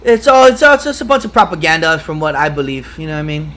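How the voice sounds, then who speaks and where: Dude from hawaii with a high voice, rambly and cussing a lot, fired up. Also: male voice, quick pace. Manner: excitable, profane, rambling. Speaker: podcast guest. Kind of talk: casual podcast chat.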